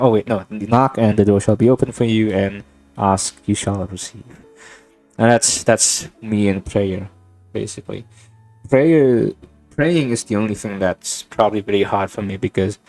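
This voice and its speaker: A man's voice: on the low side, a little mellow, rough recording. A young man from Indonesia, a native Indonesian speaker, musing aloud in a rambling, reflective monologue while playing a game, often stopping and restarting his sentences. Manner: thoughtful, halting, with many restarts and fillers. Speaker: gaming vlogger.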